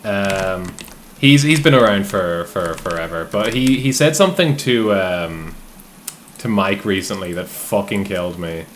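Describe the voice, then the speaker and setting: A man's voice: low voice, lively intonation, a little slow, slight background noise. A man in his late twenties hosting a game stream, chatting casually, laughing often and swearing freely. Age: late 20s. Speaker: streamer, host. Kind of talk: casual chat while gaming.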